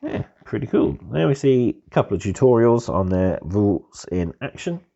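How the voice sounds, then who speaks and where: A hoarse man nursing a heavy cold hosts a laid-back review, his voice gravelly and worn. His tone is mellow and dark, but his pitch swings up and down with lively turns. The recording sounds dull and muffled. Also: normal speed. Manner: relaxed, easygoing, keeps calling things pretty cool. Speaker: host of a tabletop hobby channel. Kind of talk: casual magazine review.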